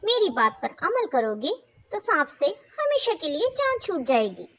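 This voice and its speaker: A woman voicing a wise female fox who gives calm, assured counsel in a children's animated fable. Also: extremely high pitch, moderate pace, exaggerated voice. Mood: calm, advising.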